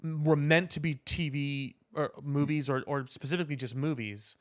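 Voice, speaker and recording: A man co-hosting a casual podcast discussion, giving opinions in a halting way, repeating words as he builds his point. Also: on the high side, steady tone. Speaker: podcast co-host.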